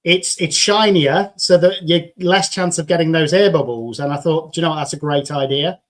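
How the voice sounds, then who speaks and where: A man's voice: a little high, moderate pace. A man from England hosting a live video chat, talking in an enthusiastic, chatty way with frequent restarts. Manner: chatty, enthusiastic, repeats words when starting. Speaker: host. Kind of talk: casual chat.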